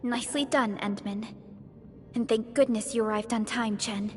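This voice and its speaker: An actress as a young female supervisor in a video game, with a fairly high voice. She speaks politely and formally, composed and measured, over faint music. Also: fairly even intonation, natural voice. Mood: relieved, warm.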